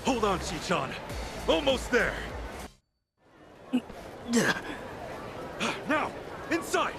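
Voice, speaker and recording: An actor playing a loyal, brash street-brawler hero in a video game, heartfelt and rough-edged. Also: male voice, very high voice, very animated, a little slow, a crowd in the background, exaggerated voice. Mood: determined. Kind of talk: dramatic video game cutscene acting. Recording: music under the voice.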